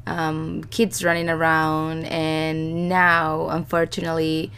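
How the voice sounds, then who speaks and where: Woman with a scratchy cold-roughened voice. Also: deep voice, monotone, slow, a bit bright. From Chile. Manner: chatty, rambling with many fillers and restarts. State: hoarse from a cold. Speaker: podcast co-host. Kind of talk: casual chat.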